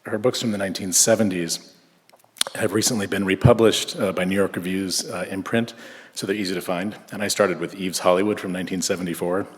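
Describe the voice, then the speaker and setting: Low-voiced southern california guy reading his paper, kinda hesitant, echoey hall. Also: steady tone. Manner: measured, with frequent filler hesitations. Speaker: conference speaker.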